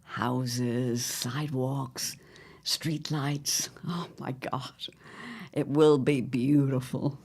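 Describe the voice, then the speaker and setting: A woman playing a scheming, condescending older mother-in-law in an audio drama, with a smug, theatrical, drawn-out delivery. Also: very deep voice, level tone, creaky, a little mellow, husky, heavily acted. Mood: smugly sweet.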